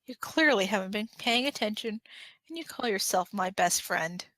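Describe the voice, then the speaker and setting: A woman's voice: mid-range voice, expressive, normal speed, a bit bright, no room sound, lightly acted. Woman voicing a whiny, anxious young man. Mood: indignant. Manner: whiny, indignant. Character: whiny, anxious, defensive young man, a lovestruck best friend. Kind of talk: amateur fan fiction audio drama.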